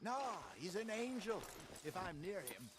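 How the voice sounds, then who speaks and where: An actor playing an older, confident outlaw gang leader with a jovial, folksy American drawl in a video game. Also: male voice, sits high, sing-song, a little slow. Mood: teasing. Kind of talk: video game cutscene acting. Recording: loud horse and outdoor noise behind.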